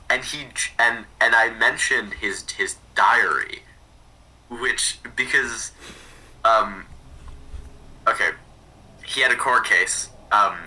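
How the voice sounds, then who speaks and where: Bright, rough-edged man, far from mic. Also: fairly low pitch. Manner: halting, repeats words and restarts sentences often. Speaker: podcast speaker recounting research projects. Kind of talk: casual conversational storytelling.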